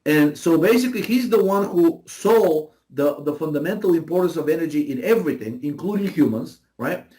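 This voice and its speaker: A man's voice: on the high side, expressive, a little fast, few pauses, warm tone, poor audio. A man from Bulgaria with a Bulgarian accent explains his views conversationally as an interview guest, emphatically, repeating phrases and checking in as he goes.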